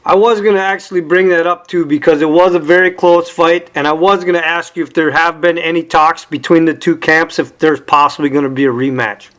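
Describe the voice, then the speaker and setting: A man's voice: a little high, fairly even intonation, fairly quick, hardly any pauses, fairly bright tone. A man hosting an interview show, asking direct, conversational questions and checking facts with his guest.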